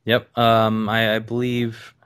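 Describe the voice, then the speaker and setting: A man hosting a casual podcast, talking in a measured way with many pauses and restarts. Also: fairly low pitch, monotone, a bit bright, clean tone. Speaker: podcast host.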